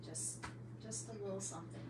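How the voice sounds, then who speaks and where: Blunt American woman over clear background noise. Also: mid-range voice, fairly quick, recorded from a distance. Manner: blunt, teasing. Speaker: podcast co-host, paranormal investigator. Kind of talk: casual chat. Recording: echoey room, off-mic, noisy hum behind.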